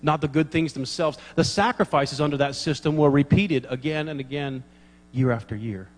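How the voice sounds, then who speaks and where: Animated pastor preaching in a reverberant church. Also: male voice, a little high, normal speed. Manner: animated storyteller, acts out dialogue, laughs at own jokes. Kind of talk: sermon with humorous stories.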